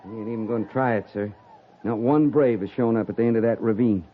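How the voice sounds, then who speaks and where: A plain-spoken army sergeant played by a man in a 1950s radio western, talking fast in a slightly low voice. He is folksy and deferential to his officer. The old recording is very noisy and muffled. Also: natural voice. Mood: alert, folksy. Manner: folksy, rough grammar, deferential.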